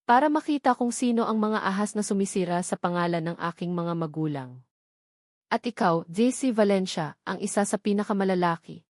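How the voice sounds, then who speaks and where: A Filipino woman voicing a calm, cold, commanding male hospital owner in a story, firm and measured. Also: mid-range voice, no echo, clean studio sound, lightly acted.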